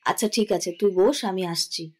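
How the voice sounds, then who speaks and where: A woman's voice: mid-range voice, normal speed, a little mellow, lightly acted. A woman playing a middle-aged mother in an audio drama, scolding yet indulgent, chiding and teasing with firmness. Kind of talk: Bengali audio drama acting.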